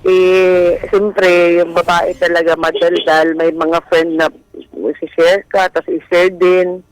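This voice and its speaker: A woman's voice: lowish voice, little pitch movement, slow pace, no room sound, poor audio. A woman with a Filipino accent telling a personal story as a guest, in a casual and hesitant style. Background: background music.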